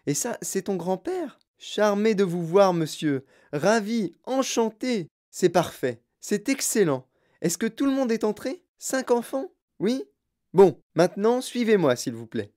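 Narrator performing elderly mischievous chocolatier, clear fluty high voice, effusive, excitable, repeating pleasantries, storybook character. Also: measured pace. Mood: delighted. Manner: excitable, repeats himself in courteous bursts. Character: sprightly, mischievous old chocolatier, gleeful and effusive.

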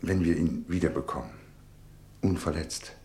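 An actor in a German radio play voicing an anxious, tender middle-aged father, speaking in a halting, earnest, pensive way. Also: extremely low pitch, mellow, roomy sound. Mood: pensive, regretful.

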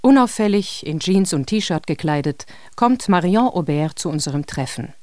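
A man's voice: high voice, lively intonation, normal speed, darkish tone, no room sound, clean studio sound. A man reading scripted narration for a radio portrait feature.

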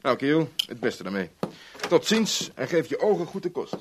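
An actor playing a sly, urbane chief inspector in a radio play, breezy and teasing in manner. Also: male voice, medium pitch, sing-song, normal speed, very noisy, close to an everyday voice. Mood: genial, evasive. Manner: breezy, teasing, evasive old-boy chattiness. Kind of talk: Dutch radio play acting.

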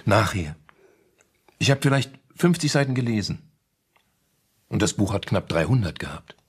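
An actor in a German radio play voicing an easily flustered, sceptical man with a slightly husky voice. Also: on the low side, big pitch swings, normal speed, full character voice. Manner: querying, stammers when challenged.

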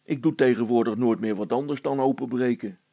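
A man's voice: medium pitch, a little fast, mellow, dry recording, natural voice. A man voicing a brusque, practical father in a children's story, blunt, emphatic and easily exasperated.

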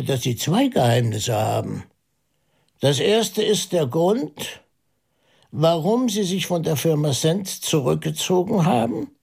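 Husky, deliberate priest sleuth. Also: male voice, sits high, full character voice. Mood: probing, confident. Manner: deliberate, probing, dropping into hushed asides. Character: shrewd, pensive priest sleuth reasoning out a murder. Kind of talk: German radio drama acting.

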